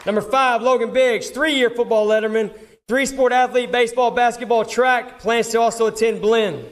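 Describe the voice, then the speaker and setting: A man's voice: very high voice, steady tone, normal speed. Man announcing awards over crowd noise. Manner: informal, reading out a roster. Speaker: football coach presenting awards. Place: public award ceremony with audience. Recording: live event, crowd noise, clear background noise.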